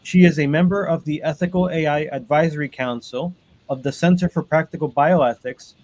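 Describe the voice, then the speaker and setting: A man's voice: fairly high pitch, fairly even intonation, a little fast, a little mellow. A man hosting a webinar panel, reading out guest introductions over a video call with frequent ums and uhs. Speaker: panel moderator.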